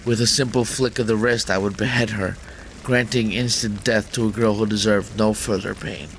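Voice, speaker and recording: Low, gravelly male game narrator. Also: fairly even intonation, moderate pace, poor audio. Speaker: gaming channel host. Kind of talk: dramatic read-aloud narration of visual novel. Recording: faint background noise.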